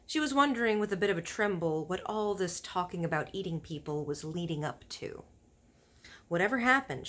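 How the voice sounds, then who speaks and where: A woman reading a children's story aloud as narrator, expressive, with informal asides. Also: on the low side. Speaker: storybook reader.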